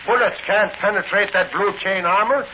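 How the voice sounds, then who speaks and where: A man's voice: high-pitched, moderate pace, muffled recording, lightly acted. An actor playing a blunt, slangy police patrolman in 1940s radio style, on a noisy recording. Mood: brash. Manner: slangy, plain-spoken. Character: blunt, loyal, hot-headed police patrolman sidekick.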